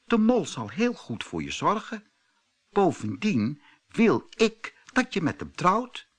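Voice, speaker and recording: A man's voice: sits high, huge pitch swings, slow pace, pitched above the performer's own voice, full character voice. A man voicing a motherly, bossy female field mouse in a fairy tale, coaxing and matter-of-fact. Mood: firm, bossy.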